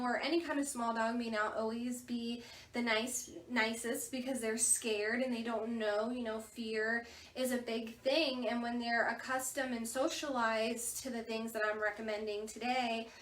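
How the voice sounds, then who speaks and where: Woman, soft voice, chatty, many fillers, echoey room, distant mic. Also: a little high, a little hiss or hum. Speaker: veterinary staff member. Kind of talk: informal instructional talk.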